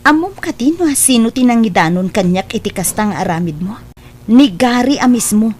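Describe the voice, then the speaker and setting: Woman playing a suspicious young woman, higher voice. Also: animated, normal speed, darkish tone, a little hiss or hum, lightly acted. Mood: accusing. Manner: accusing, pointed. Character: suspicious, hurt young woman confronting her suitor. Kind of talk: radio drama dialogue.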